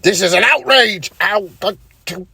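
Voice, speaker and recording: An actor plays an indignant pirate captain in an audio drama. The voice is rough, very husky and sharp-edged, and it drags out its theatrical protests at a slow pace. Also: mid-range voice, dry recording, studio quality, heavily acted. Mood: indignant, betrayed. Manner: melodramatic, spluttering protests.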